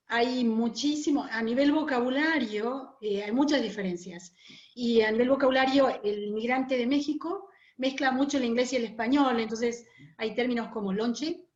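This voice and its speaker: A woman from Uruguay with a Rioplatense accent chats in an explanatory way as an interview guest, over a muffled, roomy internet call. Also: on the high side. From Montevideo, Uruguay. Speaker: guest, oral storyteller. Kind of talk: casual interview chat.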